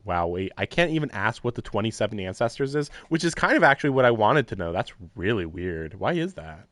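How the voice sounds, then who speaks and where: A man's voice: fairly low pitch, big pitch swings, brisk, fairly bright tone, dry recording. A man streaming a visual novel, reading lines and thinking aloud with wry, self-deprecating asides. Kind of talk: casual let's-play commentary and reading. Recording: live stream with faint game music.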